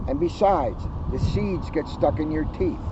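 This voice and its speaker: Man reading as a stubborn high-voiced young boy bear. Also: measured pace, natural voice. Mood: stubborn, dismissive. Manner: contrary, matter-of-fact. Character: young brother bear cub, dismissive and stubborn. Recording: muffled, outdoors with vehicle noise.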